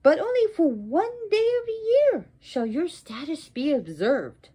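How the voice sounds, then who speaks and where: Woman voicing a furious, imperious goddess, sweeping pitch. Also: animated, slow pace, warm tone, breathy edge, heavily acted. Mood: wrathful cursing. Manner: emphatic, declamatory, indignant. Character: furious, imperious wronged goddess pronouncing curses. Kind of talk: oral mythological storytelling.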